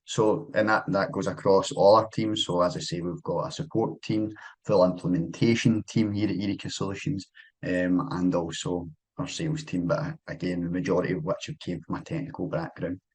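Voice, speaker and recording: Husky low Scottish man presenting. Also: fairly even intonation, a little fast, rough recording. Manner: loose and conversational, with many fillers and restarts. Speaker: account manager presenting a webinar. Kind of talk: casual presentation.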